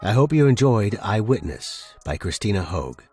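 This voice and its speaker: A man's voice: lowish voice, very animated, normal speed, darkish tone, soft background music. A man narrating scripted fiction dramatically as a podcast host and storyteller.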